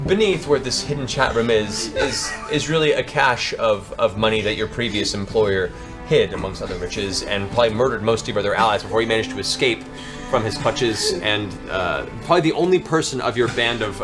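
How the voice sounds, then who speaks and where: A man narrating a tabletop fantasy game as game master, improvising theatrical descriptions with deliberate pauses. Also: on the low side. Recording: music bed behind the voice.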